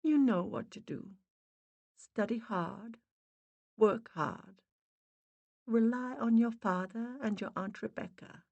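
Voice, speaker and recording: A tender ghostly mother with a Boston accent, as a woman narrator puts her on. Her voice is faint and muffled, slightly raised and hushed, in a slow storybook read. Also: on the high side, creaky, no echo, voice pushed up, full character voice.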